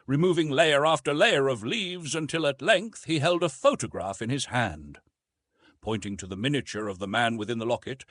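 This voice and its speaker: Expressive male audiobook narrator, slightly husky. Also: medium pitch, no room sound. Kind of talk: scripted storybook narration of an adventure novel.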